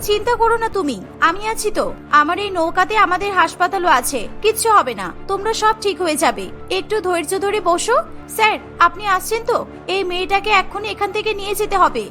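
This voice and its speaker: A woman's voice: extremely high pitch, lively intonation, moderate pace, bright, forceful tone, faint music behind, heavily acted. A woman voicing a brave, caring young mother who works as a medic in a cartoon, earnest and pleading in manner. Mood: reassuring. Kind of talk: Bengali cartoon voice acting.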